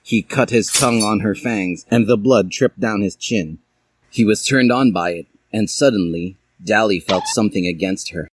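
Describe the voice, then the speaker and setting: A man's voice: fairly low pitch, moderate pace. A man reading a story aloud in a theatrical, comic narrating style. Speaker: reader of a fan fiction story aloud.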